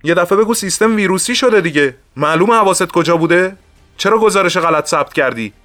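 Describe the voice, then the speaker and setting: Middle-aged male security veteran played by an actor for audio drama: blustering, colloquial, deferential underneath, higher-pitched, close dry recording. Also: bright, forceful tone, dry recording, soft background music. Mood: angry. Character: veteran male security officer, hot-tempered but deferential.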